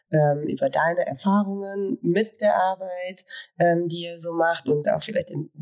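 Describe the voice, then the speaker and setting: Podcast co-host, woman, low voice, relaxed and chatty. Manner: chatty, many fillers. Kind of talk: casual podcast hosting.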